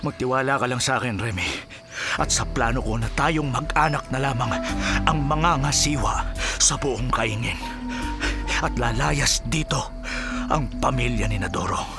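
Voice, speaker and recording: A man playing a resolute, quietly menacing middle-aged husband in a Filipino radio drama, speaking deliberately and emphatically. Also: mid-range voice, over loud music, natural voice. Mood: scheming, resolute.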